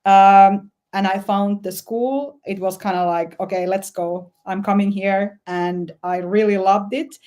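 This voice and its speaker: A woman's voice: medium pitch, fairly even intonation, a little slow, few pauses, warm tone. A woman from Finland with a Finnish accent, a guest musician answering interview questions thoughtfully and with some hesitation. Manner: thoughtful, hesitant, many "like" fillers.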